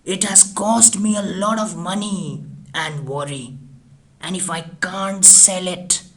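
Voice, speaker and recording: A storyteller reading a scripted ghost story in a dramatic, deliberate manner. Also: medium pitch. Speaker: storyteller, audiobook reader. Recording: music behind the voice. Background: music.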